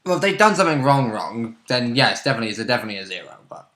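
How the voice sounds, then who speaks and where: A man's voice: medium pitch, sing-song, very fast, a bit bright. A man chatting casually as a player in a tabletop game, with wry interjections. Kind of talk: casual chat. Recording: echoey room.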